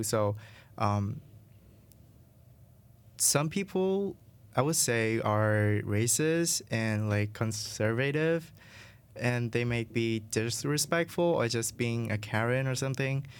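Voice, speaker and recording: Smooth-voiced young Vietnamese-accented man. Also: medium pitch. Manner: halting, searching for words. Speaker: international student guest. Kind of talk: casual interview answers.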